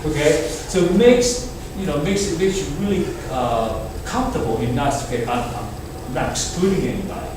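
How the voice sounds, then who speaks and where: Instructor, male, from Taiwan, mid-high voice, heard from across a booming hall with steady noise behind. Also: normal speed, few pauses. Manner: loose, repeats "okay?" and "right?" to check understanding. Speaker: martial arts instructor. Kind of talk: explaining in class. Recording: echoey hall, distant mic, background noise.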